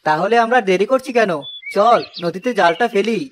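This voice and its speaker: A man playing an earnest, practical village fisherman in an animated story. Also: sits high, normal speed, a little hiss or hum, natural voice. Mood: eager.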